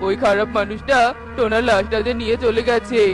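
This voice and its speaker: Someone doing a worried parrot guy, steady consoling cartoon voice, loud music behind. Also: mid-range voice, a little fast, lightly acted. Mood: firm, consoling. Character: concerned male parrot friend, sober and consoling.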